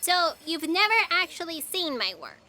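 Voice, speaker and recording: A woman acts a chirpy, literal-minded fact checker for comic sci-fi audio drama. The voice is high, piercingly bright and very animated. She sounds pert, pedantic and a little defensive. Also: normal speed, heavily acted. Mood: eager.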